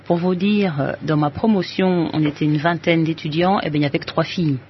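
A woman from Kinshasa speaks as a guest on a radio interview. She is measured and agreeable and often restarts her sentences. The sound is muffled like a broadcast, with faint hiss behind her. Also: sits low, fairly even intonation. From DR Congo, Kinshasa. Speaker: guest, physics and maths teacher.

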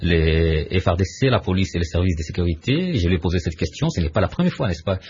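Casting: low, husky male radio interviewer, formal, dull lo-fi sound. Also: lively intonation, fast, a little mellow. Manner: formal questioning, with fillers. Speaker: radio news host.

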